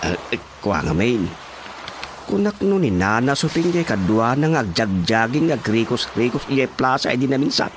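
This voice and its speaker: A man acts out a sheepish Ilocano villager in a radio drama comedy. His delivery is hesitant yet very animated in pitch, over faint background noise. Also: normal speed, natural voice. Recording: faint noisy ambience behind.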